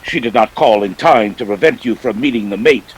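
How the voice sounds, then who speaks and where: An actor playing a jovial, pompous, scheming older crime boss with florid, courtly speech in a detective radio play. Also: male voice, on the low side, fairly quick, husky, rough recording. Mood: smooth, regretful.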